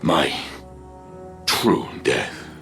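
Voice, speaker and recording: An actor playing a grave, noble robot warrior in a video game, speaking solemnly and deliberately. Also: male voice, a bass voice, sing-song, creaky, a bit bright, faint music behind, exaggerated voice. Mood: solemn, resolute. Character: grave, noble male robot warrior, long battle-worn.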